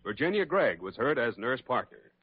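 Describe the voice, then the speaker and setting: A man's voice: medium pitch, big pitch swings, normal speed, slightly rough. An American man announcing a scripted old-time radio show in a formal, theatrical manner. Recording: dull old radio broadcast recording. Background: prominent music.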